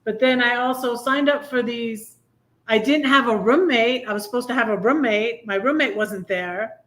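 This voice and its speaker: A woman hosting a casual live chat, telling personal stories in a halting, rambling way. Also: on the high side, fairly bright tone. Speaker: live stream host.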